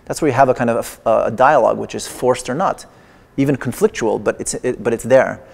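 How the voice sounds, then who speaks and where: Man, native Persian speaker, artist lecturing with dry digressive humor; gravelly texture, long hall reverb. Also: mid-range voice, fairly quick. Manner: wry, digressive, with playful humor. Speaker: artist giving a lecture performance. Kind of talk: lecture performance. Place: large exhibition hall in a museum.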